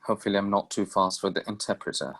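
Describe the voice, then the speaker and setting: A man moderating a video-call forum, speaking haltingly with many fillers and repeating his questions. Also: lowish voice, gravelly, a bit bright. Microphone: computer mic on video call.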